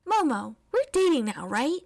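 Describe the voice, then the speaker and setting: A woman's voice: high-pitched, sing-song, normal speed, a little mellow, voice pushed up. A woman voicing a blunt, eager, earnest teenage girl in audiobook dialogue, with a direct, questioning manner.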